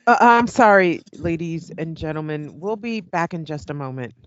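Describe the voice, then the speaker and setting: A woman's voice: low voice, lively intonation, moderate pace, lo-fi sound, heavy background noise. A woman playing a polite, professional current-affairs host in an audio play. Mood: apologetic. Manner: courteous, professional.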